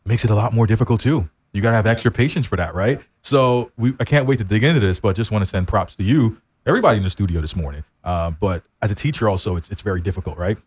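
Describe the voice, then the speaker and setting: A man's voice: on the low side, fast talker, hardly any pauses, slightly rough. A man hosting a casual studio interview, talking informally and with effusive encouragement to his guests. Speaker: talk show host. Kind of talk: casual radio interview chat.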